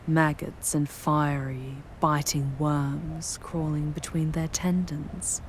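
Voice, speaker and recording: A woman voicing a charming, darkly seductive demoness in a scripted ASMR roleplay, speaking in measured, ornate, ominous phrases that drift toward breathy near-whispers. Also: low-pitched, level tone, slight background noise. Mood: ominous, solemn. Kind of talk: scripted ASMR audio roleplay, dramatic monologue.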